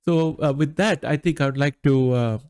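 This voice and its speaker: A man's voice: fairly high pitch, a little mellow, clean tone, no echo. Nasal middle-aged man, dry close sound. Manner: measured, frequent "uh" pauses. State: recovering from allergy. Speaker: finance channel host. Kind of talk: solo market commentary.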